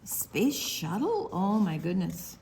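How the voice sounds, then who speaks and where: A woman's voice: mid-range voice, sing-song, takes it slowly, darkish tone, slight background noise, rough recording. An older woman reading a picture book aloud to young children, drawing out words expressively and adding explanatory asides. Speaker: storytime reader.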